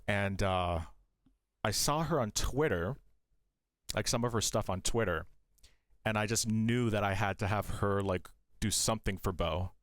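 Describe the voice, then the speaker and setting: A man's voice: on the low side, a little slow, no echo. Rambling man, dry close sound. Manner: rambling, with many restarts and fillers. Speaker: host of a game studio demo stream. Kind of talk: casual live-stream talk.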